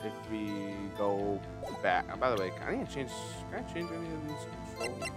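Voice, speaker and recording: A male game streamer, not a native English speaker, talks casually over clearly audible game music. His pitch swings in a lively way as he thinks aloud and pokes fun at himself. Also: moderate pace. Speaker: streamer playing a video game. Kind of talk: casual gaming commentary. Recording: music behind the voice.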